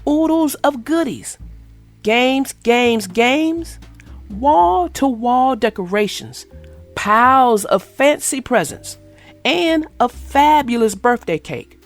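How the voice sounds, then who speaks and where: A woman reading a children's picture book aloud in an expressive, sing-song storytelling manner. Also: a little high, takes it slowly, faint music behind. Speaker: storybook reader. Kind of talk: children's read-aloud narration.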